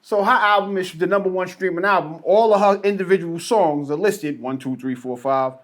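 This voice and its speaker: A man's voice: high voice, fairly quick. An American man co-hosting a podcast, chatting casually in slangy, incredulous speech. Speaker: podcast co-host.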